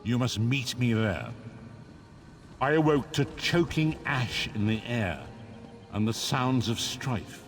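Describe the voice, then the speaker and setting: An older man playing a blind old prophet in a fantasy video game, frail and grave, speaking in solemn, measured, archaic phrases. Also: medium pitch, soft background music. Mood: grave, urgent. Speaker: story guide. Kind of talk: dramatic fantasy game narration.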